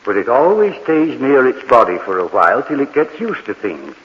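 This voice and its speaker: An actor playing a gentle, eccentric old morgue keeper in a 1940s radio mystery, talking in a soothing, earnest, rambling way on a dull vintage recording. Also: male voice, mid-range voice, very animated, moderate pace, in a large hall, lightly acted. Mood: mild, friendly. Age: older.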